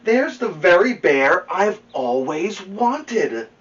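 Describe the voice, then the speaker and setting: Guy doing a keen little girl from a kids book, lilting, bit higher, slow. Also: sing-song, a bit bright, far from the mic, highs cut off, full character voice. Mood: excited. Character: eager little girl pleading with her mother. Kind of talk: picture book character voice.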